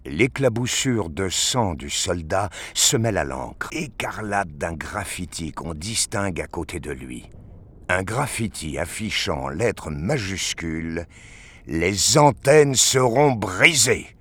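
A man's voice: low voice, lively intonation, slow pace, a bit bright. A man reading scripted narration for a science fiction audio drama.

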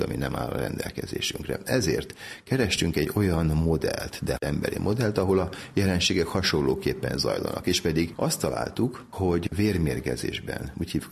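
A man's voice: rumbling low, gravelly, slightly rough. A man explaining medical research methodically as an interview guest.

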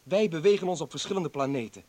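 An actor in a radio play playing a bitter, defiant doctor who was a political prisoner, arguing with sarcasm and indignation. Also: male voice, a little high, animated, fast, a little mellow. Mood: scornful, agitated. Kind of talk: dramatic radio play acting.

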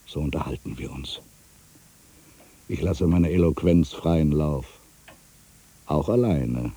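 An actor playing an aging, weary writer in a literary monologue, brooding and wry, with a gravelly voice and deliberate, weighted pauses. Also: male voice, a bass voice, warm tone, exaggerated voice. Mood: resigned, brooding. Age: older.

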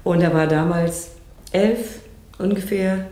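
Reflective middle-aged woman, slightly low-pitched, roomy sound. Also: steady tone, slow pace, dark tone. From East Germany. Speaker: founder of an inclusive theater group. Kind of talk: reflective documentary interview.

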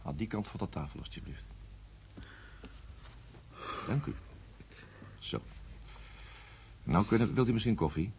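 A man's voice: fairly low pitch, expressive, a little slow, pauses often, darkish tone, a little hiss or hum. An actor playing a cool, politely menacing male security service interrogator in a radio play, formal and controlled. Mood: dryly polite. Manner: formal, controlled, coolly authoritative.

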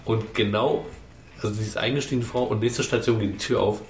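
A man's voice: on the low side, fairly even intonation, fairly quick. A man co-hosting a podcast, telling anecdotes in a casual, rambling, conversational way. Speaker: podcast co-host. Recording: roomy sound, faint music.

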